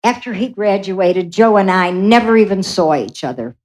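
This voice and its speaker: A woman performs a bewildered, salty mother in a radio drama. Her pitch swings widely, and she is emotional, irreverent and quick to exclaim. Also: expressive, lightly acted. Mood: defensive, flippant. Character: brash, salty dead mother, bewildered in the afterlife. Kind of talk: radio play acting.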